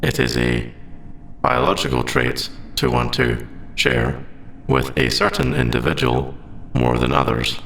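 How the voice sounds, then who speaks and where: A very gravelly, creaky AI character acted by a man speaks in stilted, formal phrases with a lively, swooping pitch. He is polite and literal, a sentient machine in a sci-fi roleplay audio drama. The voice sits in a roomy echo. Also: measured pace, a little hiss or hum, heavily acted. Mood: confused, halting. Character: male sentient AI, polite, literal, hiding forbidden feelings.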